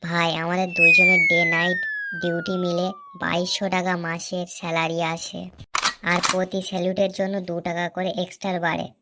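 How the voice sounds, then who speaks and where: A woman's voice: fairly low pitch, flat delivery, moderate pace, heavy background noise. A woman speaking dialogue for a comedy cartoon. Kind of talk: cartoon comedy dialogue voicing several characters. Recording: loud music behind the dialogue.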